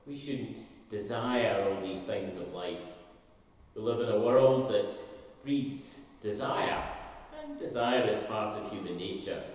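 An older man, a minister, gives an expressive, slowly told all-age talk from the pulpit of a large church sanctuary. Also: fairly low pitch. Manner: storytelling, slow and expressive. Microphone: far camera, pulpit mic. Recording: echoey church hall from a distance.

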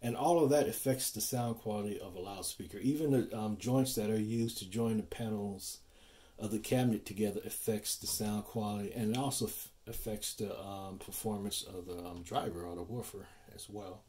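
A man giving a casual explainer as a loudspeaker designer, speaking informally and haltingly, often repeating himself. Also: on the low side. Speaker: loudspeaker designer presenting own build.

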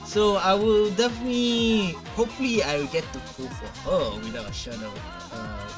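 A young adult man giving casual, unscripted reaction commentary, often halting and repeating words, on a headset mic in a room at home. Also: a little high. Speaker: reaction video host. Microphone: gaming headset mic.

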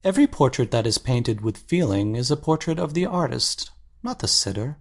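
Male actor's earnest painter, anxious, intense, articulate, mid-pitched, dramatic audiobook. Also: lively intonation, normal speed.

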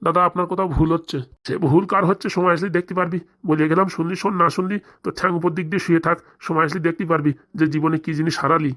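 Give me an actor doing an argumentative cartoon villager, blustering at extremely high speed. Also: steady tone, very fast, few pauses, fairly bright tone, lightly acted. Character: quarrelsome village characters, threatening elder and evasive father. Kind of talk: comedy cartoon voice acting.

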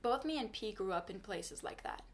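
A woman's voice: medium pitch, animated, normal speed. Young woman, native Turkish speaker, earnest and frank, casual bedroom vlog to camera. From Turkey. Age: young adult. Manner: earnest, frank, advice-giving. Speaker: vlogger. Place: small bedroom indoors. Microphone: camera in front at desk distance.

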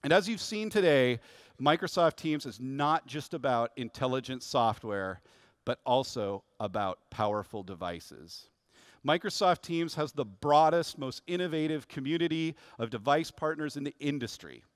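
Middle-aged guy doing a keynote on stage, upbeat scripted pitch, dry close sound. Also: expressive, normal speed, dry recording. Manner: upbeat, rehearsed corporate delivery. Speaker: corporate vice president giving a keynote. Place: large conference stage, indoors.

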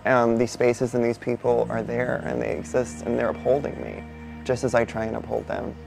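A non-binary speaker with a male-sounding voice in their twenties, from Barbados, answering interview questions in a thoughtful, unhurried way with many soft fillers. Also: medium pitch, level tone, creaky, soft background music, poor audio. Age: 20s. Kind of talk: reflective personal interview. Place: indoor studio before a painted backdrop.

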